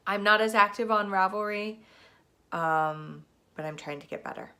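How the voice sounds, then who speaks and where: A woman's voice: medium pitch, normal speed, pushed and bright. A woman in her thirties hosting a casual knitting podcast from home, stuffed up with a cold and chatting in a rambling way with plenty of ums. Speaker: podcast host. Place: at home, seated in a spinning chair in front of a camera. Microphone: camera mic.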